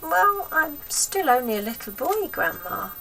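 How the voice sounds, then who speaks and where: A woman voicing a polite, meek eight-year-old boy from a children's storybook, softly spoken. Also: high voice, very animated, a little slow, gravelly, recorded from a distance, pitched above the performer's own voice, exaggerated voice, poor audio. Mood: meekly protesting. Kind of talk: acted children's storybook reading.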